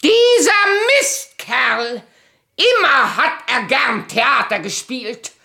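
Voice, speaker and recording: A proud and passionate noblewoman in a radio drama. She speaks in a fairly high voice, slowly and imperiously, with dramatic pauses and a sharp tongue. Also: big pitch swings, creaky, slightly rough, exaggerated voice. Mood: bitter, excited. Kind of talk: theatrical German radio play dialogue.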